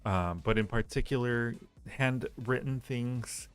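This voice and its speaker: A man hosting a casual livestream, answering listener questions in a halting, rambling, reassuring way. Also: mid-range voice, clean tone, soft background music. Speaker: livestream host giving advice.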